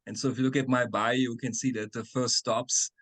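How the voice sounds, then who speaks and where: A man's voice: mid-range voice, level tone, a little fast, fairly bright tone. Man explaining on a call. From Germany. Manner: explanatory, frequent "right?" and "uh". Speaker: chemistry professor, guest. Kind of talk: webinar interview answers.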